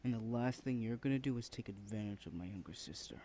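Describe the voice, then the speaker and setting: A man's voice: on the low side, fast talker, dark tone, a little hiss or hum, natural voice. A man playing a rude, protective older brother in an ASMR roleplay, confrontational and demanding, swearing freely. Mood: stern, protective.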